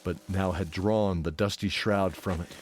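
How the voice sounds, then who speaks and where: A man's voice: low voice, fairly even intonation, moderate pace, slight background noise. A man narrating a scripted fantasy adventure in a dramatic storytelling style. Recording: loud music under voice. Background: music.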